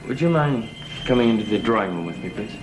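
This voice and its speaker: An actor playing an insistent, inquisitive gentleman in a vintage gothic television soap, pressing and persuasive. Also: fairly low pitch, expressive, fast, heavy background noise, lightly acted. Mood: probing. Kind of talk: 1960s-70s TV soap acting. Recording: old TV soundtrack with music underneath.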